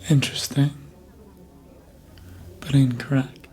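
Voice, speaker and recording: An actor playing a seductive, manipulative vampire in a hushed, breathy ASMR roleplay whisper, teasing and deliberate, close to the microphone. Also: flat delivery, lightly acted. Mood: smug, intimate. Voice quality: breathy whisper and low murmur. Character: seductive, manipulative elder vampire toying with a fledgling.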